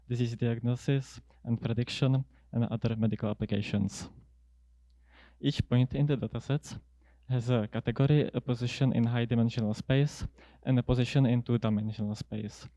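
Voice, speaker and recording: Young male researcher, soft-edged dark tone, dry close sound, halting and measured academic presentation. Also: medium pitch, no echo. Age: young adult. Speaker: student researcher presenting a paper. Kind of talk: prepared academic conference talk. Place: indoor seminar room at a lectern. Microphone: handheld microphone close to mouth.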